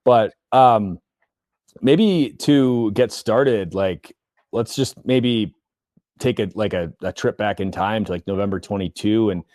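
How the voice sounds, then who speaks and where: A man's voice: lowish voice, slow, darkish tone, no echo, clean studio sound. A man hosting a podcast, asking long, rambling questions with many fillers and restarts in casual chat. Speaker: podcast host.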